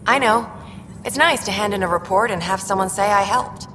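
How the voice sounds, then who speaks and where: An actress playing an eager, chatty young alien comms worker, a bubbly little sister, in a video game. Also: female voice, medium pitch, fairly quick, a bit bright, slight background noise, lightly acted. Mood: proud, chatty. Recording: reverberant space with music behind.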